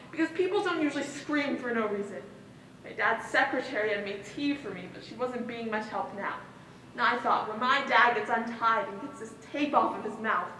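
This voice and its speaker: A woman's voice: high voice, bright, forceful tone. A woman acting a traumatised daughter who recounts a hostage ordeal in a projected, dramatic stage monologue. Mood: indignant, agitated. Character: traumatised daughter recounting being held hostage with her father. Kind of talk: one-act stage play monologue. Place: indoor stage in a large reverberant hall. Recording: distant echoey hall, some background noise.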